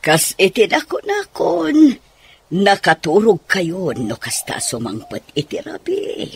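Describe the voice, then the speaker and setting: Man playing high-voiced Ilocano grandmother, firm yet caring. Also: huge pitch swings, slow pace, creaky, a little hiss or hum, exaggerated voice. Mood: gently scolding. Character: elderly grandmother, firm but caring mother. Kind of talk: radio drama acting.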